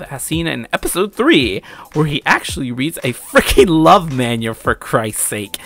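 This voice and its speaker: A man's voice: fairly high pitch, a bit bright. A young adult man giving casual, opinionated anime commentary in a slangy way with some swearing.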